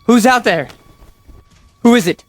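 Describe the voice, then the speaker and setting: An actor playing a needy, insecure young man in a radio drama, speaking in a pleading, halting, emotional way. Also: very high voice, soft background music. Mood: startled, wary. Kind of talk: vintage-style radio drama acting.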